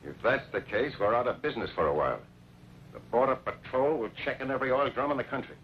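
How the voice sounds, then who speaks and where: An actor as a sly western outlaw boss, muffled recording. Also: male voice, medium pitch, expressive, fast talker, close to an everyday voice. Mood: calm, calculating. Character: sly, hard-nosed male smuggling boss in a western. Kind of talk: old western radio drama acting. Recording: old muffled, noisy radio-era recording.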